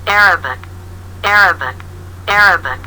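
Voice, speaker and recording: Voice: mid-range voice, lively intonation, moderate pace, bright, forceful tone, a little hiss or hum, low-quality recording. A textbook audio narrator reading school subjects aloud, saying each word three times in a listen-and-repeat drill.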